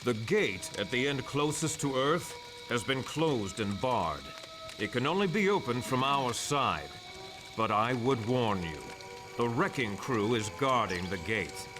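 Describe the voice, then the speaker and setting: A grave warrior god from a video game, speaks with formal heroic dignity. The mid-pitched voice moves expressively, with clear music underneath. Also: male voice, very animated, measured pace. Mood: grave warning. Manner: solemn, formal, archaic heroic speech. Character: noble, grave male thunder god warrior.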